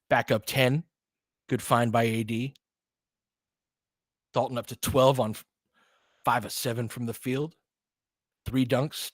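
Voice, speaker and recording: A man calls basketball play-by-play in a clipped, running style at an ordinary pitch for a man. He breaks into excited bursts and quick laughs when plays go his team's way. The sound is close and dry, with no room behind it. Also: no echo. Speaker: play-by-play commentator. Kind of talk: fan play-by-play commentary.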